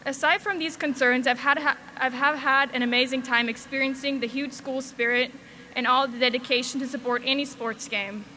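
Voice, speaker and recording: A woman's voice: sits high, fairly even intonation, a little fast, pushed and bright, slight background noise. A young woman from Switzerland giving a valedictorian speech at a graduation, earnest with light humor, on a podium microphone. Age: young adult.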